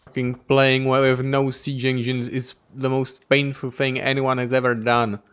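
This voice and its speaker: A man narrating his strategy game playthrough, his smooth, resonant voice cutting in and out of exasperated outbursts. He swears freely as he plays, keeping up a running commentary on every setback. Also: mid-range voice, little pitch movement, normal speed, a bit bright. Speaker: gaming YouTuber.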